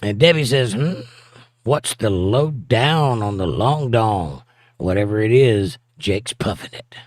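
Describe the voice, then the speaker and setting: A man's voice: fairly low pitch, animated, takes it slowly, no echo, clean studio sound. Laid-back Southern man reading chat. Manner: laid-back, teasing, chuckles often. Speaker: livestream host reading chat. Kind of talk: casual chat.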